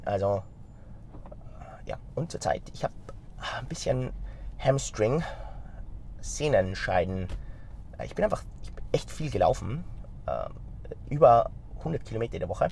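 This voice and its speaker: Male vlogger, middle-aged, mild cold; animated direct-to-camera chat recorded on a phone in a car, light street noise. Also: fairly low pitch, measured pace, gravelly, pauses often. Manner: energetic, gesturing with his hands, talks straight to the viewer. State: stuffy-nosed with a slight cold. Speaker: vlogger and company founder. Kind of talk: casual talk to camera. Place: inside a car in city traffic. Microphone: phone camera mounted in the car.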